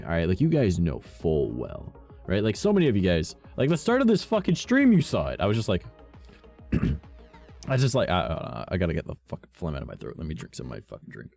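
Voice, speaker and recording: A man streaming a game, chatting casually and swearing a lot, his voice rough today, swinging between low mumbles and loud bursts.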